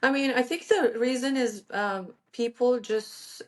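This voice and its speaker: A woman's voice: a little high, measured pace. A woman in her thirties from Iran, a guest in a casual interview, talking chattily with frequent fillers and self-corrections. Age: 30s.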